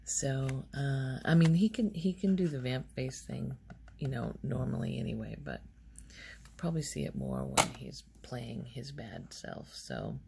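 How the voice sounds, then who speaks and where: Soft asmr lady rambling slowly, lots of vocal fry, almost whispering. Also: very animated, background noise. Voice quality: soft, low-volume voice, often near whisper. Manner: halting, unhurried, rambling. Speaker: ASMR video host.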